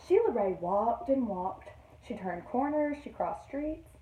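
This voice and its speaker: A woman teacher reading a picture book aloud to young children in an expressive storytelling manner. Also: a little high, unhurried, lo-fi sound, a little hiss or hum. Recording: roomy echo, distant microphone.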